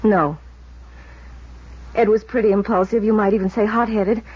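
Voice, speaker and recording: Actress as husky, anxious American wife. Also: female voice, medium pitch, steady tone, lightly acted. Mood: worried, defensive. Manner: hesitant, halting, restrained worry. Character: anxious, guarded wife of a missing man. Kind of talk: 1950s American radio drama acting. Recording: dull vintage radio recording.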